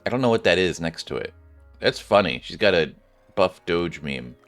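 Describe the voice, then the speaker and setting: Male gaming streamer, deep voice, animated delivery, casual rambling reactions to what happens in play. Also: moderate pace, soft background music. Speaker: video game streamer. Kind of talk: casual game commentary.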